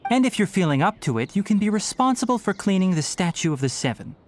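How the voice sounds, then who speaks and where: A man voicing a calm, caring young forest ranger mentor in a video game, youthful and reassuring. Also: sits high, quick pace. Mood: friendly. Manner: composed, reassuring, instructive.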